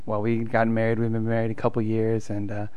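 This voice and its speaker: A young man who grew up in Argentina, a mission counselor, answering interview questions in a relaxed, rambling way with many fillers. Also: on the low side, a little fast, clean tone.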